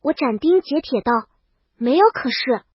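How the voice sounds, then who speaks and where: A woman's voice: sits high, expressive, moderate pace, dry recording, highs cut off. A woman reading a scripted first-person comic story as its brash, exasperated heroine. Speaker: audio story narrator.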